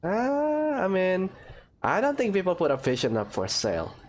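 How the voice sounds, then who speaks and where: Low-voiced woman doing game commentary. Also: huge pitch swings, normal speed, a little mellow, slight background noise. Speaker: gaming video host. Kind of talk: casual playthrough commentary. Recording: faint game music behind.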